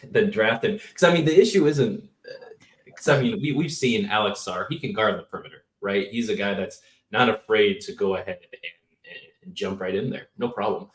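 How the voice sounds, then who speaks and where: A man co-hosting a casual basketball podcast, excitable and leading the talk. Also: on the low side, roomy sound, recorded from a distance. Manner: excitable, hype, leading the discussion. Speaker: podcast co-host. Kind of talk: casual sports podcast chat.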